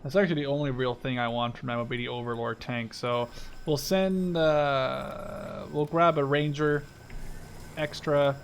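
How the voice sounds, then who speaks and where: A man's voice: medium pitch, fairly even intonation, measured pace, a little hiss or hum. A man in his twenties from the Netherlands giving informal, sweary gameplay commentary, thinking aloud as he plays. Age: 20s. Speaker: gaming video creator.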